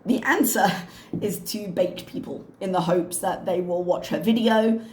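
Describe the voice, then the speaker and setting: A woman with a hoarse, slightly strained voice, chatting casually and wryly as a reaction channel host. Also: mid-range voice, moderate pace, darkish tone, echoey room, slight background noise. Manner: chatty, wry, self-mocking. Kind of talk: casual chat to camera.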